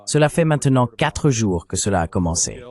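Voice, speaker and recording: A man's voice: lowish voice, big pitch swings, a little fast, a little mellow. Synthetic male French voice-over, political commentary. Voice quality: synthetic AI dubbing voice. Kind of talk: translated voice-over of political discussion.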